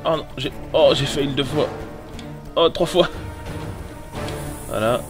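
Man, has a cold, let's play commentary, excitable and swearing, game music underneath. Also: medium pitch, unhurried. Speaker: gamer. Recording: voice over loud game sound.

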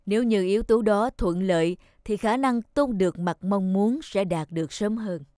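A man voicing a stern but kindly middle-aged contest judge in a cartoon, measured and formal. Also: high-pitched, darkish tone, smooth, no room sound, studio quality, close to an everyday voice. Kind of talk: cartoon voice acting.

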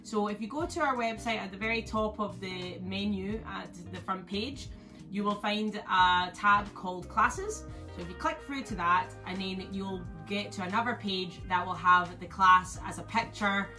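A woman's voice: medium pitch, a little slow, bright, forceful tone, faint music behind. A woman in her thirties with a Scottish accent, a crochet teacher explaining things step by step in a friendly way. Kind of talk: promotional class introduction. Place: indoor yarn studio with shelves.

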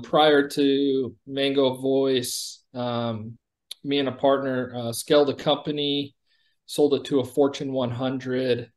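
A middle-aged American man, a company executive being interviewed on a video call, pitching conversationally and often checking in with "right?". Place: indoor room, on a video call.

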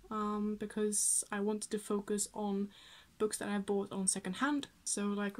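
Chatty young Swedish woman vlogging. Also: mid-range voice. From Sweden. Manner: chatty, with frequent fillers and small laughs. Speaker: book vlogger. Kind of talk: casual talk to camera. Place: small attic room at home, indoors.

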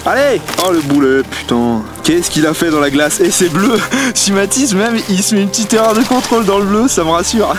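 A young man commenting on friends' ski competition runs outdoors on a mountain, animated and teasing, recorded on an action camera. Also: high voice, a little fast, hardly any pauses. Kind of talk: excited live commentary. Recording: music playing underneath.

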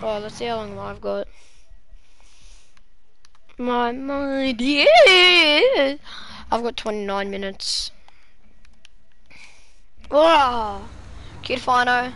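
A woman's voice: a little high, expressive, very slow, pauses often, bright, forceful tone. A young woman casually commenting on her driving game for her chat, playfully trying out a New Zealand accent. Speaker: gamer streaming to chat. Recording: game car engine noise behind.

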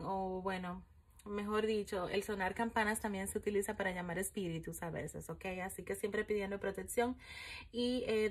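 A woman giving a casual spiritual fortune reading at home, filling gaps with "eh" and "um" and checking in with "¿ok?" again and again. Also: mid-range voice. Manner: chatty, many fillers, frequent "¿ok?" check-ins. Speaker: coffee-ground and tarot reader. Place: home room with candles and an altar, indoors. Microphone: phone camera over the table, speaker off screen.

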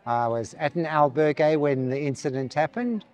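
A man's voice: on the high side, normal speed, a little mellow. A man in his sixties with a South African accent, speaking emphatically outdoors in a town square. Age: 60s. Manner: emphatic, praising. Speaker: pilgrim interviewee.

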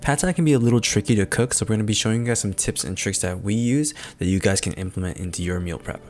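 A man hosting a cooking video, narrating steps in a relaxed, chatty way with self-deprecating asides. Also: lowish voice, fast talker. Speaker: cooking channel host. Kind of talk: casual cooking voice-over. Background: guitar music.

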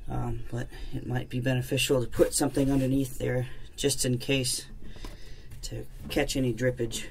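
Male DIY mechanic, casual and unhurried how-to delivery, plain-spoken, outdoor camera audio with faint background noise. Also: medium pitch, flat delivery, gravelly. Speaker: DIY mechanic demonstrating a repair. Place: outdoors in a driveway beside a jet ski.

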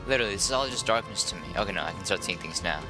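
A man's voice: deep voice, animated, racing. A young man giving casual, rambling, sardonic commentary as he plays a video game. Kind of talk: casual let's play commentary. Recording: game music under the commentary.

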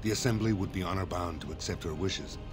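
An actor voicing a dignified older dwarf lord in a fantasy video game, speaking formally and with measured gravity. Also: male voice, sits low, close to an everyday voice. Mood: grave, formal. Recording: game dialogue over prominent music. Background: loud background music.

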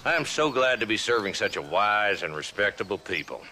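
Deep-voiced, gruff old Western marshal. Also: male voice, very animated, a little fast, pushed and bright, full character voice. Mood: sardonic. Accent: American Western. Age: older. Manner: sardonic, blunt, folksy. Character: gruff, weary, sardonic old frontier marshal. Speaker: town marshal. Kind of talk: video game western acting.

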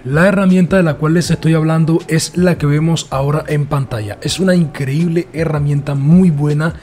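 A man narrating a casual software tutorial in a chatty, repetitive way. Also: a little high, fairly even intonation, moderate pace, soft background music. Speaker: tutorial host.

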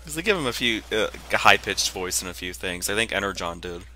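Very bright, low-voiced man chatting. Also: expressive, brisk, slight background noise, poor audio. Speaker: co-commentator. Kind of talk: casual chat over gameplay.

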